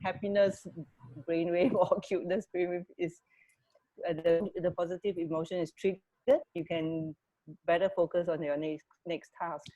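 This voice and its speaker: A woman co-hosting a radio show in casual chat, halting as she searches for words. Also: on the low side, steady tone, mellow, rough recording.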